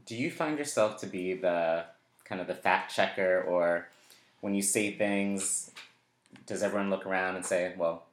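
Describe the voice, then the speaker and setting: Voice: low voice, a little slow. An interviewer asking questions and affirming the guest with frequent murmurs of agreement. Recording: roomy echo with faint noise.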